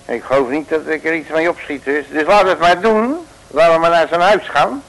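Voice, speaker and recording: An actor playing a boastful, bumbling male detective, blustering and shouting in a 1950s radio play. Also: fairly high pitch, brisk, creaky, low-quality recording. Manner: blustering, with made-up curses and sudden shouts.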